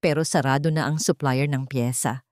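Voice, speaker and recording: A woman's voice: extremely low pitch, steady tone, moderate pace, darkish tone, dry recording, clean studio sound. A woman narrator voicing a poor male mechanic and single father who talks in a plain, matter-of-fact way.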